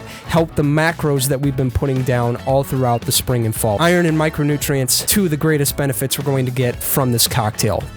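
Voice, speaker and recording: A man hosting an upbeat how-to tutorial on lawn care, chatty and talking straight to his viewers as "you guys.". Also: fairly high pitch.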